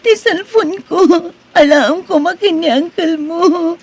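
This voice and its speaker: A woman's voice: very high-pitched, slow. A woman playing a distraught married woman in an Ilocano radio drama, speaking in a tearful, pleading way.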